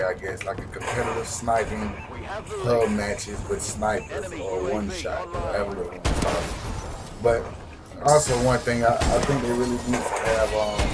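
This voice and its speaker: A hoarse young man giving rambling, slangy, casual commentary over his gameplay, full of fillers and restarts. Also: on the low side. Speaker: gaming YouTuber. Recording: loud background noise.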